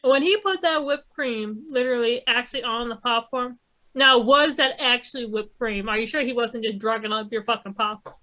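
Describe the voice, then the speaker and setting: Woman, bright forceful tone, slightly high pitch, casual chat, blunt, profane. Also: normal speed. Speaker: co-host of a movie discussion show.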